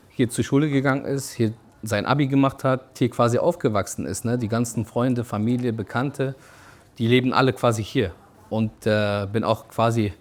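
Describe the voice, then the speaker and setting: A young man from Syria, a shop owner and referee, speaking reflectively in a documentary interview with fillers and restarts. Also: mid-range voice.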